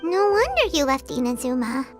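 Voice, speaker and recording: A woman voicing a tiny, cheerful fairy companion girl in a video game, with a squeaky childlike voice and an eager, bubbly manner. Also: big pitch swings, moderate pace. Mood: supportive. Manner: eager, bubbly, refers to self by name. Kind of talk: cartoonish video game voice acting. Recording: background music under dialogue.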